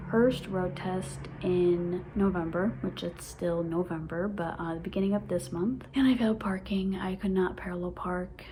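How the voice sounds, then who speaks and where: Girl in her 20s vlogging, stutters a bit, laughs a lot, faint background noise. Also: medium pitch. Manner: stutters, with repeated sounds and restarts; excited, laughs often. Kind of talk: casual vlog chat.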